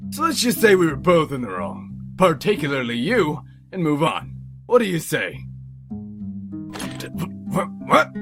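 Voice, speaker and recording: A manic robot troublemaker for an audio drama. The voice is highish and creaky, needling with mock friendliness, over clear background music. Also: male voice, wildly swooping pitch, a little slow, pauses often, exaggerated voice. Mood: mock-friendly needling.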